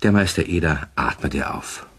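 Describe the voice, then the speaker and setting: A man narrating a children's radio play in a husky voice, in a scripted storybook style. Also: extremely low pitch, lively intonation, normal speed, full character voice. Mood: calm, storytelling.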